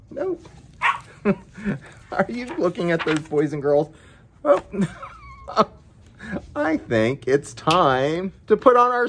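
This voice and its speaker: A man's voice: medium pitch, a little hiss or hum. A man reading a children's picture book aloud as story time host, with playful, chatty asides to his pet dog.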